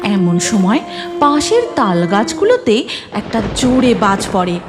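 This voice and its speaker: A woman's voice: medium pitch, big pitch swings, unhurried, over music. A woman narrating a cartoon story from a script.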